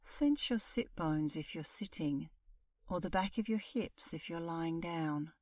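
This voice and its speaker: A middle-aged woman leading a guided meditation as an instructor, speaking in a calm, soothing, unhurried way with long pauses. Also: sits low, no echo, distant mic.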